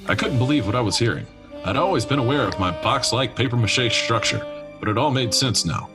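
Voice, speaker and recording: An actor playing a no-nonsense, wounded detective in a noir radio comedy, earnest and deadpan in his melodrama. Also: mid-range voice, fairly quick, lightly acted. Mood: brooding, resolute. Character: no-nonsense, wounded, half-float detective, male, hardboiled. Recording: music playing behind the voice. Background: music.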